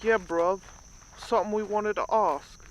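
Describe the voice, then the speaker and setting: A man's voice: high-pitched, fairly even intonation, unhurried, lo-fi sound, background noise. A man playing a taunting, slangy thug sidekick in an audio roleplay. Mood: taunting, smug.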